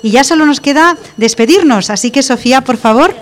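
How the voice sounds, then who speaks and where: Higher-voiced Spanish-accented woman host. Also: lively intonation, quick pace. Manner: friendly, encouraging questioner. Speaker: radio show host. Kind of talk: school radio interview.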